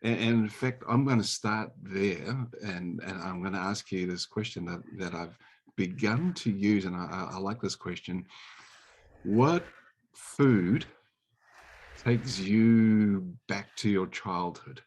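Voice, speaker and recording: Deep voiced host guy asking questions, friendly, trips over his words a bit. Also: slow, a little mellow. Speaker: interviewer host. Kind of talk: casual interview questions.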